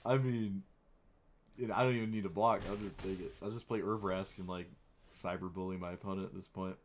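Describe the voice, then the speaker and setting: A young man streaming a card game, chatting wryly through his plays and teasing viewers, his voice a little hoarse. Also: deep voice, fairly even intonation, a little fast, a little hiss or hum. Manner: chatty, wry, playfully mock-dramatic. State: slightly hoarse. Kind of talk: casual gameplay commentary.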